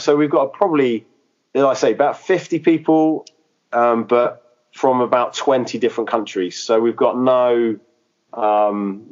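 A man's voice: mid-range voice, darkish tone, muffled recording. A man who planted a church, speaking in a podcast interview, hesitant and full of fillers and restarts. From England.

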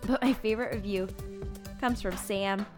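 A woman hosting a show, reading out and commenting on online bar reviews in an expressive, chatty way. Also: medium pitch, moderate pace. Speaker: podcast host. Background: music.

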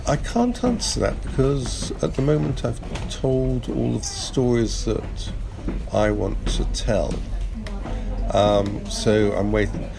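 A man's voice: medium pitch, slow, music in the background, poor audio. A middle-aged man answering casually in an interview, hesitant and thinking aloud as he goes. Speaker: podcast producer, interview guest. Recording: clear steady ambient background noise.

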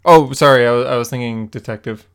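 A man's voice: mid-range voice, quick pace, smooth. A man co-hosting a podcast, talking about comics in a casual, laid-back and informal way. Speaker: podcast co-host. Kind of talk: casual comics discussion chat.

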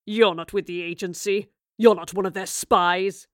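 A woman's voice: medium pitch, fairly even intonation, normal speed, no echo, lightly acted. A woman reading a story, voicing a menacing male villain who growls and snarls threats. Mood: hostile. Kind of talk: audiobook reading.

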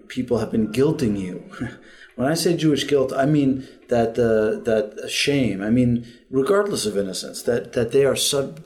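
A man interviewing a guest, asking questions with many pauses and fillers. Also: mid-range voice, a little mellow.

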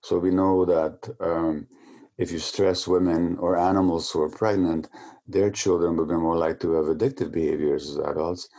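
A man's voice: low voice, little pitch movement, normal speed, mellow. A man being interviewed who explains at length in a lecturing way, often stumbling over repeated words as he restarts. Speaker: interview guest.

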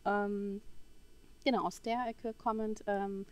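A woman in her forties from Berlin telling her personal story as a podcast guest, halting as she searches for words. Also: medium pitch, level tone, a little mellow. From Berlin, Germany. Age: 40s.

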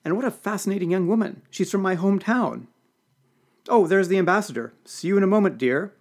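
A man's voice: sits high, a little fast, voice pushed up. A man doing a tipsy old lady senator. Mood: pleased. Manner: slurred, pleasant. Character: elderly tipsy woman senator, gracious.

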